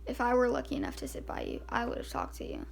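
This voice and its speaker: A woman's voice: on the high side, wildly swooping pitch, quick pace, vocal fry, slight background noise, full character voice. A woman voicing a friendly, chatty teenage boy classmate in audiobook dialogue. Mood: curious, admiring. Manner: chatty, eager.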